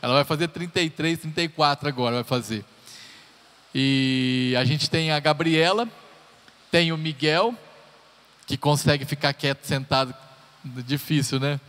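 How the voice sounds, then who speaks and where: Pastor, man in his thirties, relaxed and joking from the pulpit; slightly high voice, live hall reverb. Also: unhurried, pauses often. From Brazil. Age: 30s. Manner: chatty and joking, talks straight to the congregation. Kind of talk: sermon introduction, personal stories. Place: church hall.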